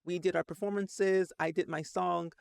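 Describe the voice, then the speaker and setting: A man's voice: high-pitched, fairly even intonation, normal speed, gravelly, smooth, dry recording. A man in his late twenties telling a personal story in a casual, rambling way, full of "like" fillers. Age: 20s. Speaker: Sims content creator.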